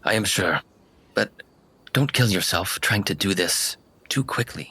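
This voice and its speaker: A man's voice: sits low, animated, a little slow, bright, forceful tone, breathy edge, heavily acted. A man voicing a stern but caring woman warrior mentor in a tabletop game, with commanding, solemn encouragement.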